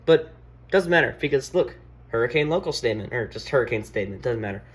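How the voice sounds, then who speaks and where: A man's voice: medium pitch, animated, quick pace, husky. A man giving an informal hands-on tutorial, thinking aloud as he goes, recorded indoors on a handheld phone. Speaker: video creator giving a demonstration. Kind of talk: casual hands-on tutorial narration. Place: indoors at a wooden desk.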